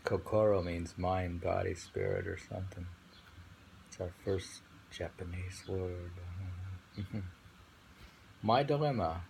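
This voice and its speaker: Guy with low creaky voice doing halting unrehearsed poetry reading and chat, slow, bit of background noise. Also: darkish tone, poor audio. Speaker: poetry reader and host. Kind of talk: poetry reading with informal discussion. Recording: faint background ambient noise.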